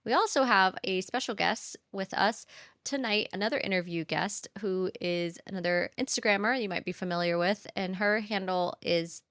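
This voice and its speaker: A woman's voice: mid-range voice, moderate pace, fairly bright tone, no room sound, clean studio sound. A woman co-hosting a book podcast, chatting casually and upbeat with lots of fillers, sounding nasal from a cold. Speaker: podcast co-host.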